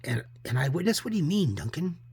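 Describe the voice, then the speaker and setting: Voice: mid-range voice, sing-song, fast, a little mellow, a little hiss or hum, natural voice. A reader voicing a dry, probing newspaper reporter in a comic book story, asking pointed questions. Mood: incredulous.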